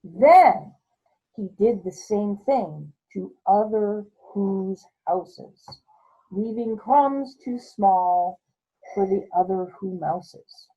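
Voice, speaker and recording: A woman's voice: mid-range voice, lively intonation, extremely slow, warm tone, breathy edge. A woman reading a children's picture book aloud, adding comments to her listeners as she goes. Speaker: storytelling reader at a community story time. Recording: dull lo-fi audio.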